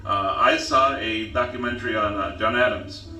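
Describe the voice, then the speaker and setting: Male podcast guest, emphatic and preachy, bright voice, far from the mic. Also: lowish voice, normal speed. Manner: emphatic, preachy, repeats "okay?". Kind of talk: casual talk show chat. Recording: roomy and distant.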